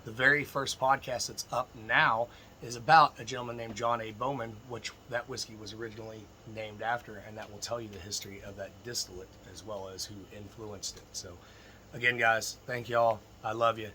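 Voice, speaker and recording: A man with a slightly froggy, hoarse voice gives informal, emphatic instruction as a distilling teacher talking to viewers. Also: on the low side, steady tone, brisk, pushed and bright, slight background noise, rough recording. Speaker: distilling instructor and video host. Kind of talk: informal instructional talk to camera.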